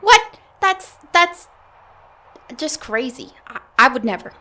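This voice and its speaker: A woman's voice: sits high, very animated, takes it slowly, sharp tone, exaggerated voice. A woman playing a flustered, evasive alien graduate student who stammers and chuckles nervously in an audio comedy. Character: flustered female alien grad student, evasive, squeamish fibber. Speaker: voice actor. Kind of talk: audio comedy drama acting.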